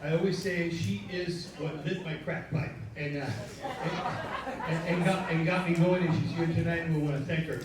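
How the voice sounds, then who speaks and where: A man's voice: fairly high pitch, fairly even intonation, crowd noise. Rambling man with a Cuban accent. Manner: loose, self-deprecating, halting with fillers. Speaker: show producer. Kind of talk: rambling welcome speech. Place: live stage before an audience. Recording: echoey room, mic from far, audience.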